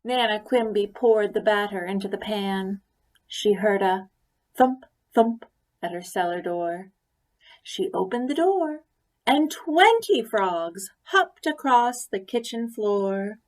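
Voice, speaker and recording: Slow-paced woman reading a picture book. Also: medium pitch, expressive. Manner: deliberate, with pauses for emphasis. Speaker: story reader. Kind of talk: expressive picture-book read-aloud.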